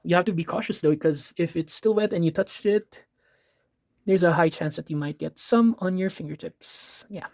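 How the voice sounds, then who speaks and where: A man's voice: on the high side, normal speed, gravelly, a little mellow. A man with a Filipino accent, from the Philippines, chatting casually in an art supplies vlog. He rambles, fills his talk with ums, and corrects himself. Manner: rambling, filler-heavy, self-correcting, apologetic asides. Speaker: art vlogger.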